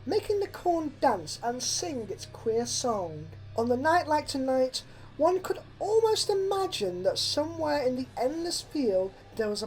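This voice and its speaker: A woman reading a horror story aloud as dramatic, expressive narration with a suspenseful delivery. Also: a little high, low-quality recording. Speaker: fan fiction narrator. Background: background music.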